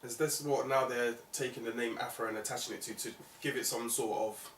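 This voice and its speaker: A man's voice: mid-range voice, fairly even intonation, fairly quick, fairly bright tone. A man hosting a conversational music interview, speaking in a reflective, opinionated way. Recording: roomy, distant mic, faint noise.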